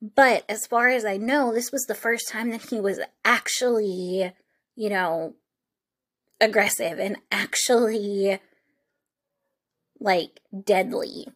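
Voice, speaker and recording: A woman narrates true crime as a podcast host. Her pace is unhurried and her pitch sits in a middle range for a woman. She talks informally and reflectively, with halting phrases, as in a conversation with listeners. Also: fairly even intonation, a bit bright.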